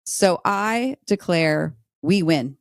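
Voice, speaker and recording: A woman's voice: fairly low pitch, expressive, slow pace, no room sound, clean studio sound. An American woman speaking in a playful, dramatic way, as a podcast guest telling stories and chatting casually. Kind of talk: lively storytelling and casual chat.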